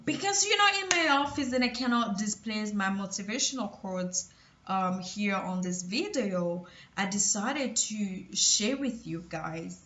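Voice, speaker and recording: A woman from Cameroon with a Cameroonian French accent, giving a halting personal motivational talk full of restarts. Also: medium pitch, a bit bright. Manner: halting, many restarts and fillers. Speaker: motivational vlogger. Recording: roomy, distant, dull lo-fi sound.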